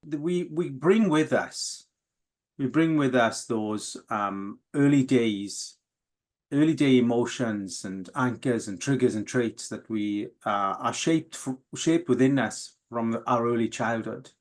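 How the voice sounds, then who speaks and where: Slow-talking Welsh valleys man. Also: medium pitch, animated. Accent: South Wales valleys. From Wales. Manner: slow, reflective, with many fillers and restarts. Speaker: guest. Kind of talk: candid, emotional interview.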